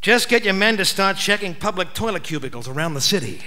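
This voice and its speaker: An Australian actor playing a wry, world-weary private detective, narrating a noir parody radio play in a deadpan, declamatory way. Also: male voice, high-pitched, fast. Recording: live stage radio play broadcast.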